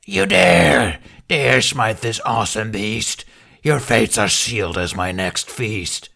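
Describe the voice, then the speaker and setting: A tyrannical, monstrous dragon queen, performed by a man for an audiobook. The voice is low and very slow, thick with creak and husk. It booms out menacing rhymes like an imperious chant. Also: animated, pushed and bright, heavily acted. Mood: menacing fury. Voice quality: gravelly, husky. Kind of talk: audiobook character voice.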